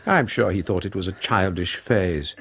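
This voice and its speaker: A middle-aged Victorian gentleman and father, with a rather low, husky voice. He speaks formal upper-class English in a dismissive way, as in a period radio drama. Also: normal speed, full character voice. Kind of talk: 1990s radio drama acting.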